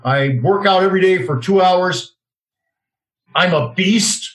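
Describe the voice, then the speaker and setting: A man's voice: high voice, a little slow, fairly bright tone. A man in his fifties hosting a talk radio show in a direct, self-assured, salesy way. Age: 50s. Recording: roomy, echoey sound.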